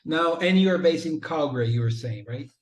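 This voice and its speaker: A man's voice: on the high side, expressive, normal speed. Encouraging male interviewer, echoey room. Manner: encouraging, frequent backchannel "mm-hmm". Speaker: interview host. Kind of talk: casual video-call interview.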